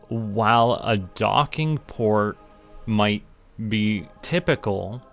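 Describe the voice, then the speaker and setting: A man's voice: on the low side, a bit bright, smooth. A Canadian man doing casual gameplay commentary as a streamer, thinking aloud and talking himself through each step.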